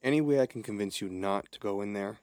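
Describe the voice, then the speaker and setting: An actor playing a gruff, foreboding but kindly local man, a driver in a radio play, laconic and ominous. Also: lowish voice, fast talker, darkish tone, no echo, close to an everyday voice. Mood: ominous, concerned.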